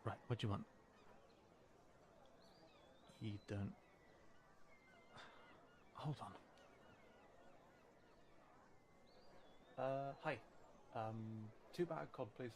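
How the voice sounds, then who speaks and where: A man's voice: mid-range voice, measured pace, darkish tone, a little hiss or hum, lightly acted. A British man playing a young cat townsman in an audio roleplay, informal and hesitant, guarded but friendly as he guides the listener. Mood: relaxed, friendly. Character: wary, helpful young male cat townsman guiding a human.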